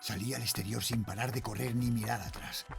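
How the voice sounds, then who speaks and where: A man playing a frightened father and night guard, telling his horror story in a Spain accent with tense, dramatic delivery and hushed phrase endings. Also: medium pitch, steady tone, a little fast, creaky, over music, lightly acted. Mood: haunted, fearful. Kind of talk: scripted first-person horror narration, audio drama.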